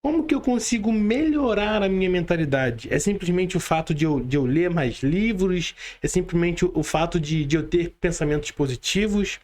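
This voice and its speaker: A man with a Brazilian accent and a high-pitched voice. He interviews conversationally as a podcast host. His delivery is halting, with repeated words and frequent tag questions. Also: expressive, darkish tone. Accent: Brazilian Portuguese. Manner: halting, repetitive, frequent "né" tags.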